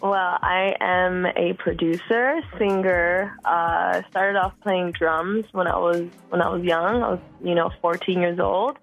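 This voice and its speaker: A woman in her thirties, from Costa Rica, talking casually as a music guest, relaxed, with many fillers and repeated words. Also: fairly low pitch, unhurried, hardly any pauses, poor audio. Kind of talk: casual interview chat.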